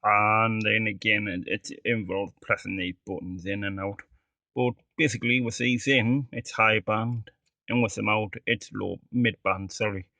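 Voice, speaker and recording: Male hobbyist presenter, casual demo. Creaky, bright-edged voice, matter-of-fact and halting delivery. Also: lowish voice, fairly even intonation, dry recording. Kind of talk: casual product demonstration.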